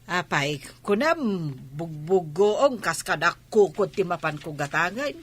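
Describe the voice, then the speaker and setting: A woman's voice: fairly low pitch, very animated, measured pace, husky, no room sound, exaggerated voice. A woman playing a bossy, nagging village wife with an Ilocano Filipino accent in a comic radio drama. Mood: chatty. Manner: sharp, nagging.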